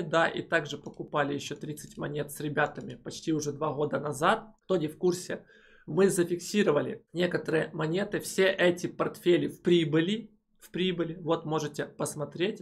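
A man's voice: on the high side, vocal fry, breathy edge. A young man from Ukraine hosting a crypto channel, chatting casually and emphatically as he answers viewer comments into a headset mic. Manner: animated, emphatic, with frequent filler "э".

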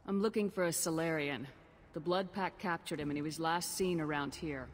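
A woman's voice: deep voice, steady tone, moderate pace, a little hiss or hum, lightly acted. A woman playing a cool, authoritative human military commander in a video game, direct and dry. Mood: businesslike. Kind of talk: video game character dialogue.

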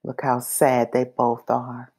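A woman reading a children's picture book aloud expressively, pausing between phrases and acting out the dialogue. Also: rumbling low, completely flat delivery, darkish tone, slightly rough. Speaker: storybook reader.

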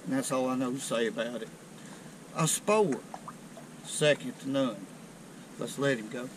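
A middle-aged man, chatting to viewers in a folksy, excited way while fishing from a riverbank, recorded on a chest-mounted camera outdoors. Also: medium pitch, unhurried, noisy background. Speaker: fishing video host. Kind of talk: casual outdoor commentary. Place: outdoors on a rocky riverbank below a dam. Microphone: chest-mounted action camera.

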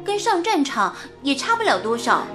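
A woman's voice: sits high, sing-song, normal speed, music in the background. An actress playing an earnest young woman working at a wartime radio station, in radio drama dialogue. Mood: sincere, reserved.

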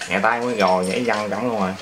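A man's voice: lowish voice, fairly even intonation, very fast. A man with a southern Vietnamese accent chatting casually with a child as a father, giving short instructions. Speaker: father filming his child at play. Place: tiled room at home. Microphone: behind a handheld camera. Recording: very noisy room, clattering plastic pieces.

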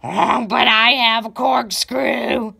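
A conceited storybook pig, as a woman reader puts him on. He sounds smug and self-admiring, his voice lifted higher as he boasts about himself. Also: slow, gravelly, sharp tone, breathy edge, exaggerated voice. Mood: boastful. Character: vain, boastful male farm pig in a storybook.

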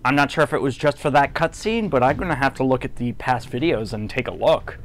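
A man giving casual, low-key gameplay commentary, musing to himself as he plays. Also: fairly quick, a little hiss or hum. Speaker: gamer doing a playthrough. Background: game music.

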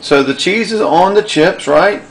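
A man filming in a home kitchen, gently coaching and asking playful questions from behind the camera. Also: high-pitched, measured pace, slight background noise, low-quality recording. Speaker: parent filming a child's cooking show. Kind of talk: casual home video chat. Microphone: handheld camcorder mic, off screen behind camera.

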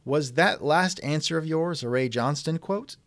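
A man's voice: a little high, normal speed, a bit bright, no room sound. A man hosting a scripted podcast interview with a dry, sardonic manner, close on the microphone.

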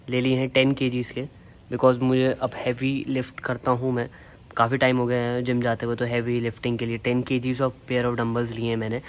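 A young man with an Indian accent walks viewers through his home gym setup in an easy, chatty way. His voice sits at a middle pitch and stays fairly even. A faint outdoor ambience comes from the rooftop. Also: slight background noise. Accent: Indian English. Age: young adult. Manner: chatty, mixing Hindi and English. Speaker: fitness YouTuber. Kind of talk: casual home gym tour. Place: sunny rooftop terrace.

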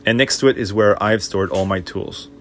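A man with a fairly low voice narrates a tour of his own boat. He lists each compartment and piece of equipment in a plain, matter-of-fact way, without fuss. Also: moderate pace. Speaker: boat owner giving a tour.

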